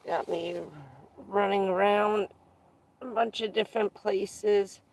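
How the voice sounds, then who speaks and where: A livestreamer chatting casually with viewers, stuffed up and losing their voice, who keeps clearing their throat. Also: medium pitch, steady tone, a little mellow, poor audio. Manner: frequent throat clearing, unhurried, rambling. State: congested and losing voice. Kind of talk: casual live stream chat with viewers.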